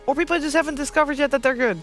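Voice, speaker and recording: A man steering a casual esports studio panel in a very high, bright voice. He talks loosely and keeps the chat moving. Faint music sits underneath. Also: rapid-fire. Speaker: esports analyst.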